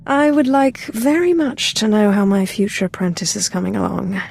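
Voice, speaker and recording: An actress playing a composed, imperious female Sith lord in a game, with a dry, quietly menacing manner. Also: mid-range voice, animated, normal speed, gravelly, slight background noise. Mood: cool, composed.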